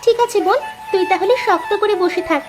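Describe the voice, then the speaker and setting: A young village sister in a cartoon moral tale , voiced by a woman, talks in a very high, girlish pitch. She is earnest, chatty and determined, with prominent music underneath. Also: quick pace. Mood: eager.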